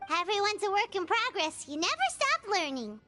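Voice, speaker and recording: A woman voicing a tiny, cheerful fairy companion in a game, with a squeaky childlike voice and excitable manner. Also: normal speed, faint music behind, heavily acted. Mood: content. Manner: excitable, refers to self in third person. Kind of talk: animated game voice acting.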